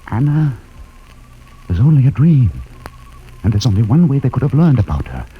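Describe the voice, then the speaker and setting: An actor playing a haunted male ship's doctor in a 1950s radio drama, talking slowly and gravely. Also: mid-range voice, sing-song, phone-quality sound. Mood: brooding. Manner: slow, grave, musing. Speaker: Doctor Horst.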